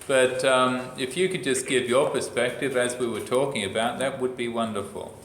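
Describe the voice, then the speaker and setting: A man speaks casually at a medium pitch, stumbling over fillers as he moderates a group session. The room around him is echoey, with faint background noise. He sounds friendly and offhand. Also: fairly even intonation, fairly bright tone. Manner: chatty, halting, with many fillers. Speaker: organizer of a youth reporter program.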